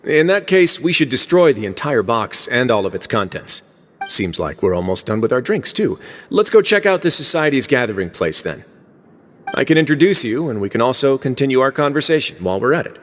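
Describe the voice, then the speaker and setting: An actor playing a laid-back, wry prison warden in a video game, who speaks calmly with dry, understated humor. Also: male voice, mid-range voice, a little fast, a little hiss or hum.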